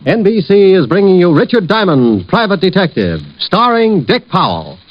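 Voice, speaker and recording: A man's voice: sits high, very animated, normal speed. A man announcing a program in 1940s network radio style. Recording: muffled old radio recording, music.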